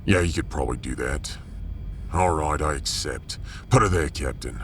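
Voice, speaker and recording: Man acting as gruff middle-aged blacksmith, rock-bottom pitch, blunt and weighty, proud, sci-fi audio drama. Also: moderate pace, a bit bright, a little hiss or hum.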